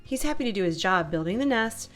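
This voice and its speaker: A woman's voice: mid-range voice, lively intonation, quick pace, soft background music, lightly acted. Woman voicing a blustery, stubborn storybook papa bear. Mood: dismissive. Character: grumbling, stubborn, secretly hopeful papa bear, adult male.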